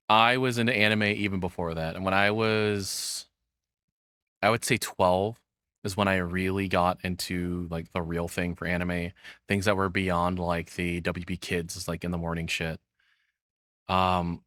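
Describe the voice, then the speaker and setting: A man's voice: low voice, fairly bright tone, no echo, clean studio sound. A man in his thirties giving casual, rambling reaction commentary as the host, swearing freely. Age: 30s.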